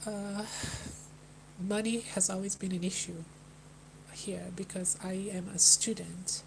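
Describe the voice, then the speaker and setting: Halting Brazilian woman, flat delivery. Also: mid-range voice, creaky, noisy background. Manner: hesitant, halting, with many restarts and sighs. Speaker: livestreamer. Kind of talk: personal talk to a live chat. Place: Melbourne, indoors.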